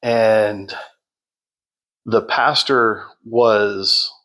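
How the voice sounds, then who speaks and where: A man telling his story as a podcast guest in a loud, projecting voice, unhurried, with many fillers and restarts. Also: lowish voice, steady tone. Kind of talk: casual storytelling.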